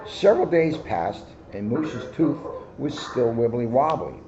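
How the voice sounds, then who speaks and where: A man reading a children's picture book aloud in a deliberate, expressive storyteller manner, with slightly muffled sound. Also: mid-range voice, a crowd in the background. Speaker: guest story reader, police officer.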